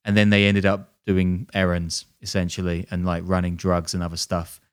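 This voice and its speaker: A man's voice: deep voice, completely flat delivery, moderate pace, smooth. A man hosting a podcast, telling a crime story casually with jokey, sardonic asides. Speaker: podcast host.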